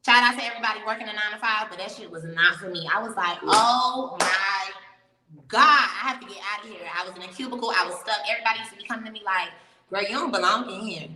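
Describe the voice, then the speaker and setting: A young woman from Miami talks as an interview guest, frank and chatty, telling her story with easy laughs. Her voice is bright and forward. She sits back from the mic in an echoey room. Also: mid-range voice, quick pace, recorded from a distance, a little hiss or hum. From Miami, Florida. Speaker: guest, professional dancer. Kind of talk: casual interview chat.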